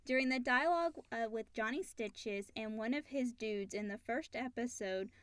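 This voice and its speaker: Female co-host, fairly high voice, dry studio-like sound, informal conversational delivery. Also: moderate pace, fairly bright tone, clear, resonant tone, dry recording. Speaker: podcast co-host. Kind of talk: casual chat, reading listener questions.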